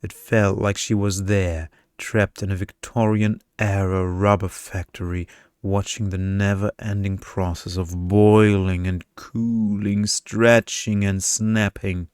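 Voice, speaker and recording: A man narrating a short story in a deliberate, seductive ASMR storytelling style. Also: lowish voice, flat delivery, darkish tone, smooth, dry recording, studio quality. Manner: slow, deliberate, seductive delivery.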